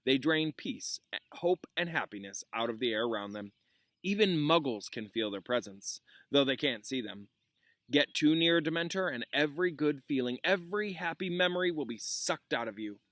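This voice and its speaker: A man's voice: a little high, lively intonation, normal speed, fairly bright tone, no room sound, clean studio sound. A man voicing a grave, kindly young wizard professor in a storybook read-aloud, solemn and emphatic. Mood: grave, reassuring.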